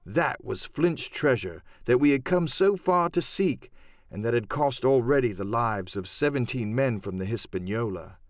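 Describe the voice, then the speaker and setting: A man narrating a classic adventure audiobook in an expressive, dramatic storytelling manner. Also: medium pitch, normal speed, no echo, studio quality. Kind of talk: dramatic scripted literary narration.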